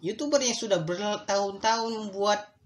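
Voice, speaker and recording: A man's voice: high voice, normal speed. A man hosting a solo podcast. He explains his points in a thoughtful, instructive way, speaks directly to his listeners, and sometimes stretches words for emphasis. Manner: addresses listeners directly, with a moralizing tone. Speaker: podcast host.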